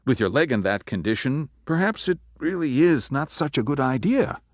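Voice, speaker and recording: A man's voice: medium pitch, sing-song, moderate pace, dry recording, studio quality, close to an everyday voice. A man playing a fatherly older estate owner. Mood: fretful. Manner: rambling, second-guessing himself. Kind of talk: old-time radio drama acting.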